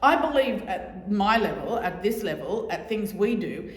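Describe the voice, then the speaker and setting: A woman in middle age speaks loudly and casually, cracking jokes and hammering home her points again and again. Her voice sits at an ordinary pitch, and a long hall echo hangs behind it. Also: expressive, measured pace. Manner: loud, chatty, jokey, repeats key points. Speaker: guest speaker on oral history. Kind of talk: informal talk to a society audience. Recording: echoey hall.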